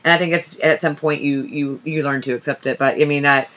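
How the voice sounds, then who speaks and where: A woman with a naturally deep, raspy voice, co-hosting a podcast in casual, rambling chat with lots of fillers and self-mocking restarts. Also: fairly even intonation, fairly quick, a bit bright. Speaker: podcast co-host. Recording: dull lo-fi podcast recording.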